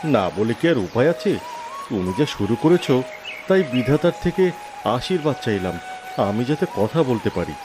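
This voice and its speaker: A man's voice: medium pitch, big pitch swings, normal speed, lightly acted. A man playing a friendly talking lotus flower, a cursed human, speaking familiarly and earnestly in a cartoon fable. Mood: teasing, friendly. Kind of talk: Bengali cartoon fable voice acting. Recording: loud music under the voice. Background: music.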